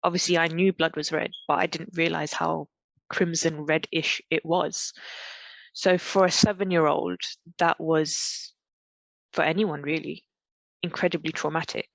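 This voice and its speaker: A woman from Pakistan, a podcast guest telling a personal story in a reflective, halting way with frequent restarts. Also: sits low, level tone, creaky, dry recording. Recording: dull, dry, lo-fi recording.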